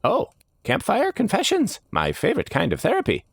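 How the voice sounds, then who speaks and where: A man voicing a whimsical, smirking trickster gentleman in a theatrical, lilting way for a fantasy story. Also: sits high, wildly swooping pitch, normal speed, vocal fry, slightly rough, no echo, pitched above the performer's own voice, full character voice. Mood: smirking, flippant.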